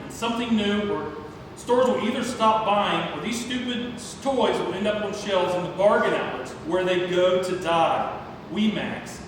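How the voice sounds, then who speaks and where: Casting: male actor as harried cynical executive, high voice, urgent rants, distant in a reverberant hall. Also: natural voice. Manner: ranting, urgent. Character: harried, cynical toy executive father on phone. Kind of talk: play table read. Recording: echoey hall, distant mic, heavy noise.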